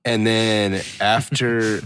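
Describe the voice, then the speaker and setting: Slow, low-voiced male tabletop player. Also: flat delivery, fairly bright tone, slight background noise. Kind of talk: narrating own character's moves casually.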